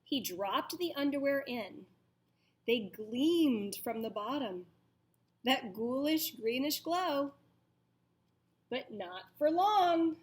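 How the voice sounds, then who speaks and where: A woman with a fairly high voice reads a children's picture book as a teacher would. Her pace is very slow and deliberate. She tells the story with drama and turns to her young listeners with questions. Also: expressive. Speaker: teacher reading aloud to children.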